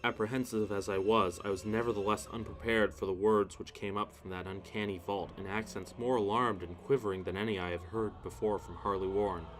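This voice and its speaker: A man's voice: fairly low pitch, steady tone, fairly quick, few pauses. A man narrating a horror story in the first person, solemn and theatrical. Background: music.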